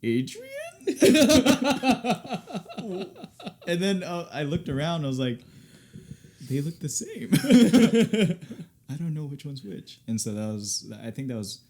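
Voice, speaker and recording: A man's voice: on the high side, very animated, slow, long pauses, a little mellow. A young American man, a podcast guest, telling stories in an easygoing, rambling way during a casual interview. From United States.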